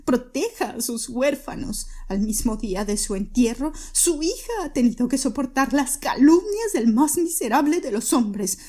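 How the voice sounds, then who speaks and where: A woman's voice: sits high, expressive, moderate pace, hardly any pauses, warm tone. A woman voicing a frantic, grieving widowed mother who pleads shrilly and desperately.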